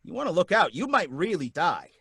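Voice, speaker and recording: A man's voice: high-pitched, sing-song, fairly quick, sharp tone, slightly rough, dry recording, exaggerated voice. A man voicing a blunt, suspicious taxi driver. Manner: folksy slang, suspicious, blunt. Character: wary blunt male taxi driver, working-class. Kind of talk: live radio drama reading.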